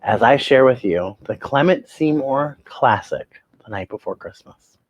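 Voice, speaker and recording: A woman hosting a children's story show, reading aloud expressively with theatrical, drawn-out phrasing and emphatic pauses. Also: very deep voice, animated, dull audio. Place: indoors at home.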